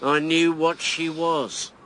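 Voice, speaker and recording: Brash, sharp-voiced volatile man. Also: on the high side, flat delivery, slow pace, slight background noise. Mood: defensive, needling. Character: brash, drunken, volatile male election candidate and ex-army major. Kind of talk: radio drama acting.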